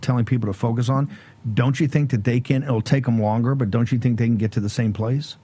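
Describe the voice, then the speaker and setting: A man hosting a political talk show, asking long run-on questions in a quick, emphatic way. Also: medium pitch, steady tone, warm tone, husky.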